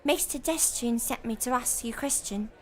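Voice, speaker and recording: A woman's voice: on the high side, moderate pace, natural voice. An actress playing a young servant girl who is polite, eager and a bit flustered. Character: timid young female servant. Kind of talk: audio drama acting.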